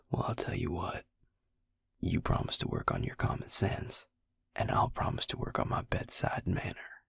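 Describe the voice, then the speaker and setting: A man playing a gruff, caring vampire healer in an intimate roleplay audio, speaking in a hushed, breathy, gravelly murmur, blunt but tender. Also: deep voice, wildly swooping pitch, measured pace, long pauses, dry recording, studio quality, full character voice. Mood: gruff, scolding. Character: gruff, caring adult male vampire healer, protective and exasperated.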